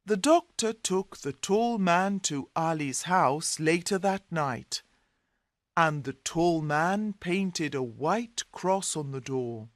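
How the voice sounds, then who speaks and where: A man reading a simple scripted story for English learners in deliberate, clearly separated phrases. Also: fairly high pitch, expressive, dry recording.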